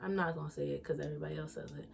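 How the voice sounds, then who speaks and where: A woman in her late twenties from Philadelphia with a Philly accent, hosting a casual chat in a blunt, profane, animated way and laughing often. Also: sits low, racing, creaky, husky, faint music behind. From Philadelphia, USA. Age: 20s. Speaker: podcast host.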